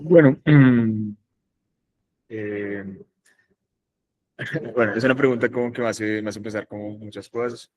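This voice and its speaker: A young man from northern Colombia with a Colombian accent, speaking reflectively and haltingly on a discussion panel, with many pauses and restarts. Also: on the low side, fairly even intonation, a little mellow, highs cut off. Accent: Colombian Spanish.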